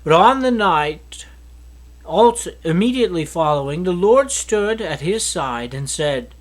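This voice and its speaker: A man reads scripture aloud, his pitch rising and falling in a lively, animated way. The delivery is steady and earnest, with the occasional stumble he corrects on the spot. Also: unhurried. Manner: steady, earnest reading with small slips and restarts. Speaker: scripture reader. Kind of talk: reading a Bible chapter aloud.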